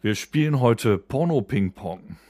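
A man's voice: medium pitch, expressive, measured pace, a little mellow, no echo, studio quality. A man co-hosting a podcast with casual, bawdy jokes and colloquial talk. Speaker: podcast co-host. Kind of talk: casual, joking podcast banter.

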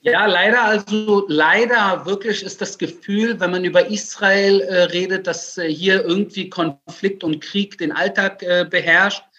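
A man's voice: high voice, a little slow, few pauses, sharp tone, muffled recording. A man in his forties from Germany, explaining things conversationally as an interview guest over a remote connection. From Germany, Berlin. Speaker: interview guest, writer and military spokesman.

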